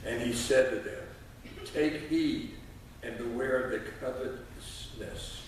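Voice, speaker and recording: A man in his sixties speaking at a church lectern as a lay reader, in a folksy, halting way with frequent pauses. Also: mid-range voice. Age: 60s. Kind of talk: informal church address and scripture reading. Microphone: distant camera microphone. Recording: echoey church, distant mic, noisy.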